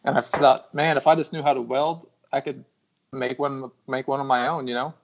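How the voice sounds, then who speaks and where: A man's voice: mid-range voice, little pitch movement, normal speed, noisy background. A man talking as a guest on a podcast, telling his story in an easygoing, understated way. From lived in Texas. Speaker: guest metal artist. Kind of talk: casual podcast chat. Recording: dull lo-fi podcast audio.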